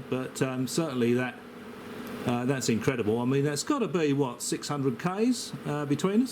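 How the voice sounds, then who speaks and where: An Australian man chatting casually as an amateur radio operator, with a hesitant, rambling, matey delivery. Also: on the high side. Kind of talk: casual radio contact chat. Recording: steady background noise, radio hiss.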